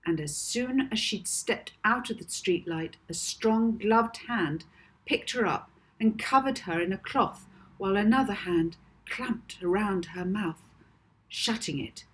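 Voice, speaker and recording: A woman author reading her children's novel aloud as expressive storybook narration, with deliberate phrasing and dramatic emphasis. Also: mid-range voice, low-quality recording.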